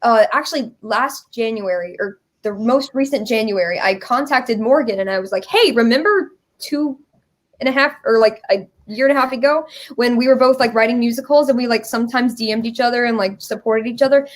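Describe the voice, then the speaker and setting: A woman's voice: on the high side, a little fast. A young woman telling a story casually as an interview guest, acting out little bits of dialogue. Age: young adult. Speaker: interview guest, musical writer.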